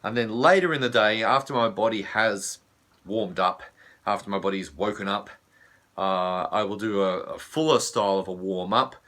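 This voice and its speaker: A man with an Australian accent, a vocal coach whose voice is husky from laryngitis, explaining vocal care in a relaxed way with many fillers. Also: fairly low pitch, moderate pace. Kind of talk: teaching video.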